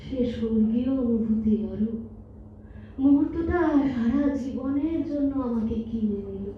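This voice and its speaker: A woman's voice: a little high, measured pace, close to an everyday voice. Woman acting nostalgic old wife, very echoey. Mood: nostalgic, tender. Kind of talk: Bengali stage audio drama. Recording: muffled, echoey hall from far off, noisy.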